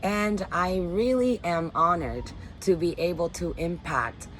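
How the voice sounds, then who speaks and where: Dominican-born woman in her 40s, low voice, heartfelt, phone video outside w faint hum behind. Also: a little slow, a bit bright. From Dominican Republic. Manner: earnest, upbeat and heartfelt. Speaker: teaching artist. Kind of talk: personal statement to camera. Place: backyard under a canopy, outdoors.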